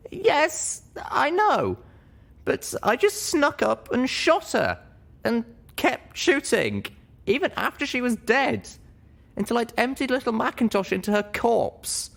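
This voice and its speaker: A man's voice: extremely high pitch, sing-song, slow, gravelly, fairly bright tone, pitched above the performer's own voice, exaggerated voice. A man voicing a young female pony, earnest and troubled, in an audiobook story. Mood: anguished, guilty. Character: young female pony, drunk, anguished, guilt-ridden.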